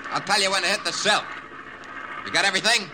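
An actor playing a slangy, terse combat pilot in a 1950s radio drama. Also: male voice, high voice, fast, natural voice. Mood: businesslike. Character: gruff, slangy American male warplane pilot in combat. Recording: old radio drama under loud engine noise.

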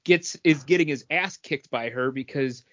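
A man's voice: mid-range voice, moderate pace, muffled recording. A man co-hosting a podcast in a casual, rambling chat about comic book movies. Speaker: podcast co-host.

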